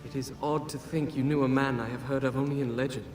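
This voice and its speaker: A man's voice: mid-range voice, steady tone, fast, close to an everyday voice. A man playing a young heroic prince in a video game, wry and earnest in a conversational way. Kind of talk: video game cutscene acting. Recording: echoey, loud music behind.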